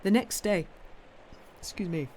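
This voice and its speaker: A woman reading a classic novel aloud in an expressive, literary narrating style. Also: fairly low pitch, unhurried. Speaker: reader, streamer. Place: live stream. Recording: clearly noisy background.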